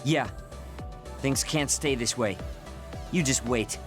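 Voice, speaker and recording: A man's voice: medium pitch, slow, natural voice. An actor playing a curt, defiant, proud young male soldier in a video game. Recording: music behind the voice.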